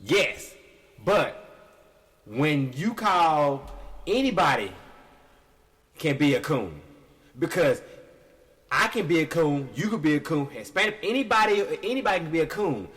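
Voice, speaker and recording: Man in his thirties, high voice, very lively pitch, emphatic, repeats himself, casual podcast host. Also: unhurried, long pauses. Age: 30s. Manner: emphatic, repetitive storyteller.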